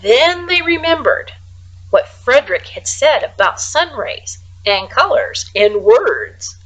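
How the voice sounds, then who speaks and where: A woman reading a children's storybook aloud like a teacher, expressively, with casual, hushed asides. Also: high voice, very animated, slow, rough recording.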